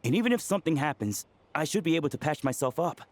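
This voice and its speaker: A man's voice: a little high, expressive, fast, darkish tone, close to an everyday voice. A man voicing an earnest, timid young trainee medic in a video game, polite and hesitant. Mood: earnest, unsure.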